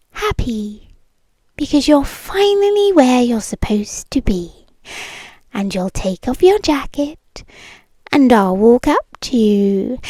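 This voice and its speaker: A British woman playing a possessive, obsessive ex-girlfriend in an intimate ASMR roleplay, speaking softly and coaxingly close to the microphone and often slipping into whispers. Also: high-pitched, no echo, full character voice. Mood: dreamy, doting. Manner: soft, coaxing, slow and deliberate, slipping into whispers. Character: possessive, obsessive yandere ex-girlfriend, tender yet menacing.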